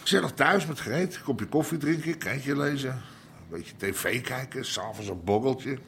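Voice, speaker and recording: A weary middle-aged tough played by a male actor, stubborn and gruffly dismissive, with a medium-pitched voice. He talks in plain local colloquial speech in a naturalistic radio play, with a little noise behind him. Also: moderate pace, fairly bright tone, close to an everyday voice. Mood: wistful. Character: weary, stubborn middle-aged Amsterdam underworld boss and husband. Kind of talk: naturalistic radio drama acting.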